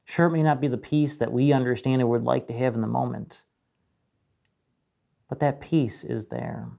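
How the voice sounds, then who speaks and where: The voice of a man at the front of a small church, a mellow, measured pastor preaching with weighted pauses. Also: medium pitch, steady tone, creaky. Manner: measured, dramatic pauses and emphasis. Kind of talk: sermon. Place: indoor room with a painted mural, speaking to camera.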